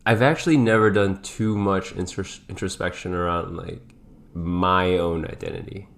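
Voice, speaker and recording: A man co-hosting a podcast in casual, reflective conversation, speaking hesitantly as he thinks out loud. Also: deep voice, vocal fry. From grew up in several countries. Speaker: podcast co-host.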